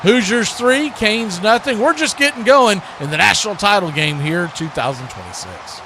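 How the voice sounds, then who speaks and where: High-pitched man calling football play-by-play. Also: very animated, normal speed. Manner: clipped phrases that build to shouts on big plays. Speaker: play-by-play announcer. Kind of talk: live football play-by-play commentary. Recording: clearly noisy live stream.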